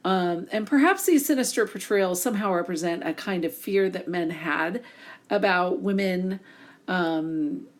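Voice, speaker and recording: A woman with a hoarse voice from a cold, lecturing casually over slides with many fillers. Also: fairly low pitch. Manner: casual, many ums, reads slides aloud. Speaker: instructor.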